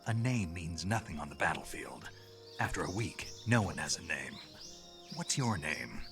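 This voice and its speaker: An actor playing a gruff, world-weary special forces soldier with a gravelly voice and a terse, sardonic manner in a video game. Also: male voice, low-pitched, big pitch swings, normal speed, loud music behind the voice. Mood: guarded, terse. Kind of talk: scripted video game dialogue.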